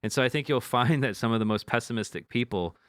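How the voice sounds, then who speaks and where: A man's voice: medium pitch, fast, a bit bright, no echo. A man co-hosting a podcast, talking frankly in casual chat, swearing now and then and often restarting his sentences. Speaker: podcast co-host.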